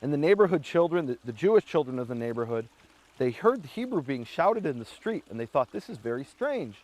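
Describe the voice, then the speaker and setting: A man giving a Bible teaching talk, telling history as a lively story and acting out the quoted voices. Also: on the high side, brisk, rough recording.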